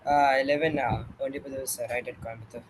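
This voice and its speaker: A teenage student with an Indian English accent, a native Tamil speaker, answering mock exam questions in careful, formal phrasing. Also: male voice, mid-range voice, fairly even intonation, fast talker, noisy background.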